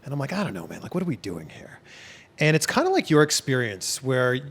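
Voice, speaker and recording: A man's voice: mid-range voice, huge pitch swings, quick pace, slightly rough. A man from Toronto hosting a casual interview, chatty and enthusiastic. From Toronto, Canada. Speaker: interview host.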